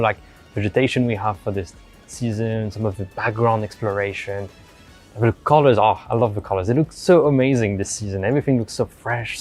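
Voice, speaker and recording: Enthusiastic man explaining, mid-pitched, over music. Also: gravelly, a little mellow. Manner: enthusiastic, halting, repeats emphatic words. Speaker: lead artist, interview guest. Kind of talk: casual unscripted explanation.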